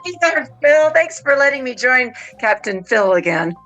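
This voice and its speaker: A woman's voice: high-pitched, sing-song, normal speed, a bit bright, highs cut off, faint music behind. A woman guest chatting casually and enthusiastically, like a keen fan. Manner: enthusiastic, nerdy fan talk. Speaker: pop culture news guest. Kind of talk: casual chat.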